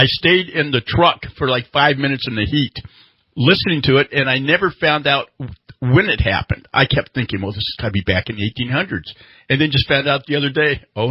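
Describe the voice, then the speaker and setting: A man's voice: medium pitch, husky, no echo, lo-fi sound. A man hosting live talk radio and reading sponsor spots conversationally, repeating words for emphasis, in a studio. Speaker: radio host. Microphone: studio microphone.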